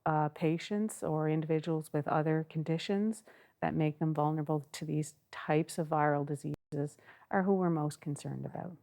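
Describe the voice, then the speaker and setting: A woman public health official explaining preparedness in a measured, explanatory way during a news interview. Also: low-pitched, fairly even intonation, vocal fry, mellow.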